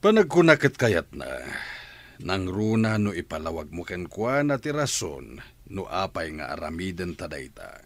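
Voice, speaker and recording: Radio drama father: middle-aged, stern, exasperated, lowish voice with lively inflection. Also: measured pace, full character voice. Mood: reasoning. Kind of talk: Filipino radio drama acting.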